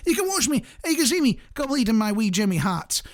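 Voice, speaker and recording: Chatty radio host with lively, swooping intonation. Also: medium pitch, fairly quick. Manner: chatty, wry, jokey rambling asides. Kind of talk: casual listener-interaction radio chat.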